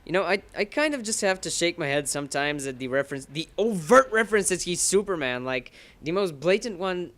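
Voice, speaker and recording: Young man, brightish higher voice, rambling profane let's-play chatter. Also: lively intonation, fairly quick, hardly any pauses. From Bulgaria. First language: Bulgarian. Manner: rambling and profane, curses at the game. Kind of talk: rambling casual commentary while gaming.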